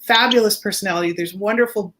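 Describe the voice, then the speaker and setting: A woman's voice: medium pitch, fast talker, a bit bright. A woman chatting casually as a guest on a show, effusive and appreciative in how she talks. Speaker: guest, journalist and author. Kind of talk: casual conversation.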